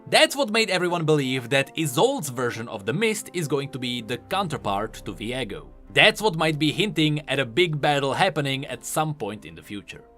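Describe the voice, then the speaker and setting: A man's voice: mid-range voice, sing-song, moderate pace, hardly any pauses, sharp tone. A man hosting a game lore video, giving emphatic, explanatory voice-over commentary. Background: faint music.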